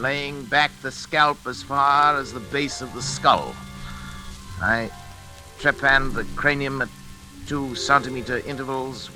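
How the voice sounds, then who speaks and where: An actor playing an anguished middle-aged scientist father, obsessive and guilt-ridden, in halting, intense 1940s radio drama style. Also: mid-range voice, sharp tone, rough recording. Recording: old radio recording with music behind.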